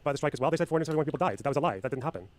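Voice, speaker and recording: A man's voice: on the high side, lively intonation, racing. A man in a heated online debate, combative, firing the same pointed question again and again. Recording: dry close mic.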